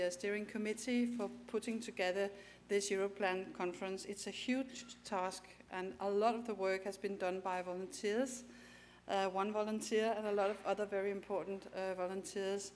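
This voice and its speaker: A woman's voice: mid-range voice, slight background noise. A woman with a Danish accent, a native Danish speaker, giving a conference presentation in a hesitant way, with many fillers and restarts. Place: conference hall.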